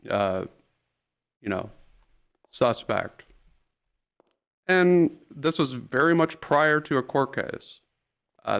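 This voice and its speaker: A man playing a trial lawyer in improvised roleplay, questioning in a halting, repetitive, insistent way. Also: mid-range voice, clear, resonant tone. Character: pushy, rambling male trial lawyer.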